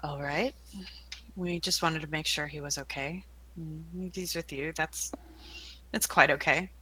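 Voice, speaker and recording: A woman's voice: sits low, steady tone, measured pace, bright, forceful tone, husky, rough recording. A woman player speaking politely in character in a tabletop game, with frequent fillers. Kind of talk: in-character roleplay.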